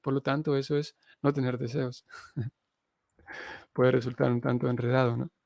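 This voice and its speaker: A man's voice: medium pitch, level tone, normal speed, creaky, darkish tone, dry recording. A man giving a spiritual lecture, reading scripture and explaining it in a reflective way. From Honduras. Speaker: Hare Krishna missionary teacher.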